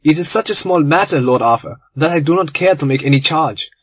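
A man's voice: fairly high pitch, lively intonation, a little fast, slightly rough, dry recording. A man reading aloud, voicing a genial, proud little German bomb-maker in a storybook. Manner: cheerful, effusive, courteous.

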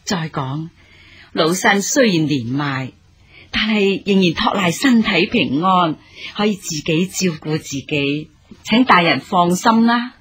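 A woman's voice: on the low side, lively intonation, slow pace, fairly bright tone, breathy edge, heavily acted. A woman acting a humble, respectful elderly mother in a period radio drama, with deferential, formal Hong Kong Cantonese delivery. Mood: respectful, reassuring.

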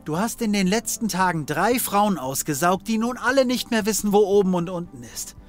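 A man's voice: high-pitched, normal speed, soft background music, natural voice. A man playing a cocky occult detective in a comedy audio drama, wry and full of bravado. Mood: defiant. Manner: wry, cocksure. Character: cocky male occult detective, fear hidden under bravado.

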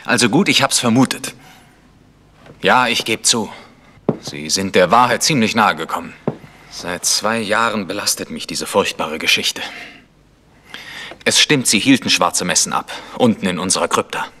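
Bedrängter Pfarrer mit scharfer Stimme. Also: male voice, on the low side, normal speed, long pauses, sharp tone, slight background noise. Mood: burdened, defensive. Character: troubled, defensive male village vicar. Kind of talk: vintage German radio crime drama acting.

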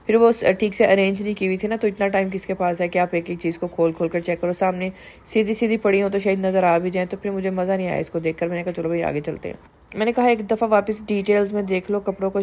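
Woman vlogger, chatty voice-over, low mellow tone. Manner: chatty, candid shopping commentary with English words mixed in. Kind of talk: casual voice-over vlog narration.

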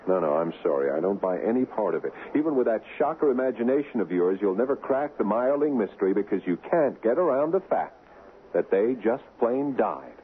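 An actor playing a skeptical, emphatic young fiancé in a 1970s radio drama. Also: male voice, lowish voice, big pitch swings, fairly quick. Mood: skeptical, insistent. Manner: dismissive, emphatic. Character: skeptical young fiancé, a man teasing his sweetheart. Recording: muffled, noisy 1970s radio broadcast.

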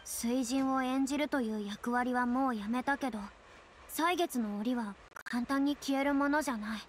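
A woman's voice: high voice, normal speed, slight background noise, natural voice. An actress voicing a proud, theatrical young woman, a retired star with boyish turns of phrase, in an anime-style game. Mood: resolute, wistful. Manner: haughty, dramatic, speaks with boyish self-reference. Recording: studio voice over game music.

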